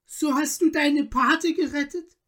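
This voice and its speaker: A woman's voice: fairly high pitch, fairly even intonation, slow, voice pushed up. A woman voicing a gracious, emotional noble countess from a storybook, with courtly old-fashioned diction. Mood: overjoyed, tearful. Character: gracious noble countess, deeply moved and grateful.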